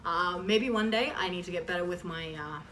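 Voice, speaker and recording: A woman's voice: lowish voice, fairly quick, a bit bright. A woman in her twenties answering questions in a casual, rambling chat, often interrupting herself. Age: 20s. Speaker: online voice performer answering fan questions. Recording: distant mic with clear background noise.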